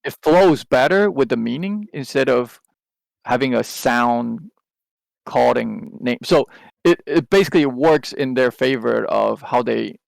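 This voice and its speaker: Gravelly man. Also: medium pitch, animated, a little slow, no echo, poor audio. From Taiwan. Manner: rambling, with many restarts and hesitant fillers. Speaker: podcast co-host. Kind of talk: casual chat.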